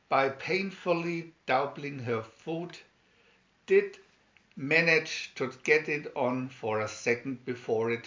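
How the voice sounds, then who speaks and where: A man narrating a fairy tale as a scripted storybook, reading aloud deliberately. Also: mid-range voice, a bit bright, echoey room, muffled recording. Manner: deliberate read-aloud delivery.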